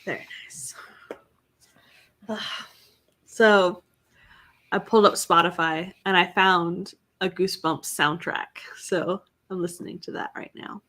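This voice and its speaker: Brief: young woman streamer, bright, slow easy delivery, reads chat and greets viewers. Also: fairly low pitch, fairly even intonation. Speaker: livestream host. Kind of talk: casual livestream chat.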